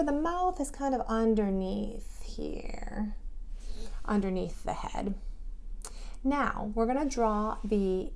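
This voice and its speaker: Slow, gravelly woman teaching kids to draw. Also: medium pitch. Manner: gentle, patient, speaks directly to children. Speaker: preschool teacher. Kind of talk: drawing lesson for young children.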